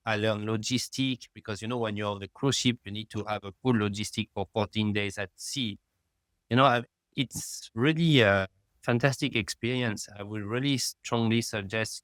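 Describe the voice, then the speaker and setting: A middle-aged man, speaking as a guest in a remote interview, halting and peppered with "you know.". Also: fairly low pitch, fairly bright tone, smooth, no echo. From France. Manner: halting, fills with "you know", rises in emphasis. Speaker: guest, lab founder.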